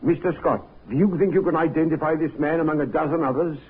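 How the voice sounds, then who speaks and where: Casting: man as formal police detective, dry probing manner, somewhat high voice, old radio drama. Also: quick pace, natural voice. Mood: dry, official. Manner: formal, probing, dryly courteous. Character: brisk, dry, authoritative male police inspector. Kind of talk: 1950s radio drama acting. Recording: tinny, noisy 1950s radio recording.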